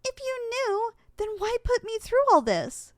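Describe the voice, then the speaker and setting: Casting: female actor for sweet, flustered succubus student, high lively voice, ASMR roleplay. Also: unhurried, dry recording, full character voice. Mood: hurt, indignant. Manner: expressive, flustered, coaxing. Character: eager young succubus trainee, sweet and easily flustered.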